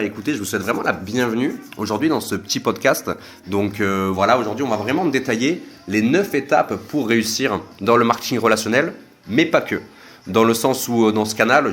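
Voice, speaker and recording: A man's voice: mid-range voice, fairly bright tone. A young man hosting a casual solo podcast, rambling with many fillers and restarts. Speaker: podcast host.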